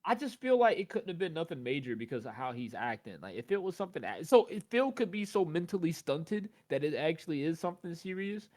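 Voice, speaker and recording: A man's voice: on the high side, big pitch swings, a little fast, few pauses, darkish tone. A man co-hosting a casual commentary chat, rambling through long points full of fillers and asides. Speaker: co-host.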